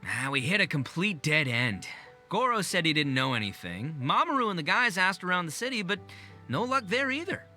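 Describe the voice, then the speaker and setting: A man's voice: sits high, very animated, moderate pace, pushed and bright, faint music behind, full character voice. A man voicing a boisterous, cocky but good-natured young gang boss in a video game, with slangy, swaggering talk. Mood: disappointed.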